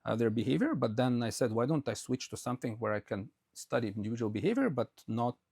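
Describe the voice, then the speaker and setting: A man's voice: mid-range voice, expressive, fast, vocal fry, a little mellow, no echo, studio quality. A Russian-born man, a native Russian speaker, answering interview questions about his life in a rambling way with many fillers and restarts. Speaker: podcast guest. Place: Quebec, Canada.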